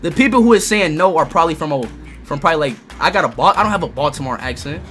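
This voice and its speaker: Young guy yelling at chat while gaming, super lively swings, bright punchy voice. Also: on the high side, rapid-fire, soft background music. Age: young adult. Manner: excitable, talks to chat, repeats words. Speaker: livestreamer. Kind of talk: loud gaming livestream chat. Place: bedroom streaming setup, indoors.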